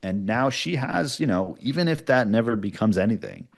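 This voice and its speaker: Deep-voiced man explaining things casually. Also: expressive, normal speed, gravelly. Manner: explanatory, peppers speech with checking tags like "right?". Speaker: podcast producer, interview guest. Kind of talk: casual interview answers.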